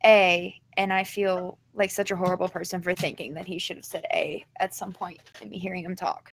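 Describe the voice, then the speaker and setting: A woman's voice: fairly low pitch, fairly even intonation, a little fast, vocal fry, a little hiss or hum. A young woman with a Southern US accent, chatting on a podcast in a rambling, giggly way. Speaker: podcast guest. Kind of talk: casual chat.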